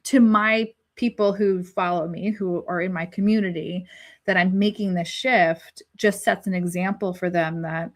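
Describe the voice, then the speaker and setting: A woman's voice: on the low side, steady tone, normal speed. A woman hosting a live panel discussion, speaking reflectively with frequent restarts, who is five months pregnant. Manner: reflective, searching, many restarts. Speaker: host.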